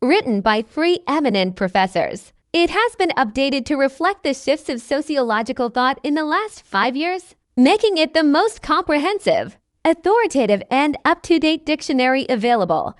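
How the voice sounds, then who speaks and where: A woman reading a scripted practice passage aloud in a formal, measured manner. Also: high voice, sing-song, no echo, studio quality. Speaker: model reader for English test practice.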